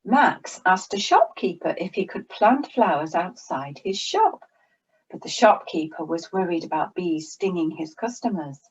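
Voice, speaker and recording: A woman reading a children's story aloud in a slow, expressive storytelling manner. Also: lowish voice, breathy edge, poor audio.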